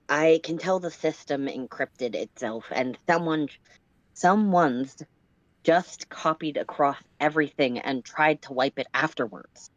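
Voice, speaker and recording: A woman playing a gloomy ship's hacker in a story reading, explaining grave news haltingly, with muffled, echoey audio. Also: low voice. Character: gloomy ship's hacker reporting over the speaker.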